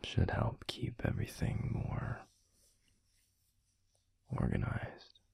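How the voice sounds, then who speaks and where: A man playing a gentle, protective werewolf boyfriend in an intimate audio roleplay, murmuring softly and soothingly with a breathy, gravelly voice. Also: no room sound, whispered, natural voice. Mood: subdued, matter-of-fact. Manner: slow, soothing, unhurried. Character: gentle, protective adult male werewolf boyfriend, pack leader.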